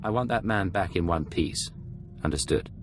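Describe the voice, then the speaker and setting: An actor playing a cool, commanding Imperial secret agent in a video game, speaking in a measured, authoritative way. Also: male voice, sits low, lively intonation, background noise. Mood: firm.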